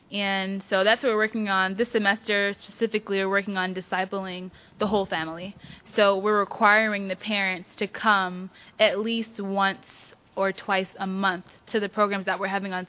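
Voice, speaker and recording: Church mentoring lady explaining her program, earnest, kinda muffled recording. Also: mid-range voice. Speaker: church youth mentoring leader. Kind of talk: panel discussion remarks.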